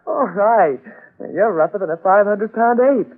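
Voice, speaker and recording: An actress playing a carefree, trusting explorer's wife in a 1940s radio drama, with a breezy, animated delivery. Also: female voice, medium pitch, normal speed, natural voice. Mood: breezy, playful. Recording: thin, lo-fi old radio broadcast.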